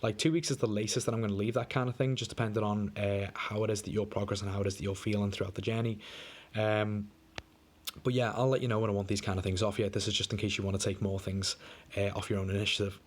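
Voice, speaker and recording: A man's voice: fairly low pitch, flat delivery, fast talker. A young man coaching a client on a diet plan in a casual, chatty way, sounding a bit wheezy from a coming flu. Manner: laid-back, chatty, lots of fillers. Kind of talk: casual screen-recorded walkthrough. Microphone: screen recording.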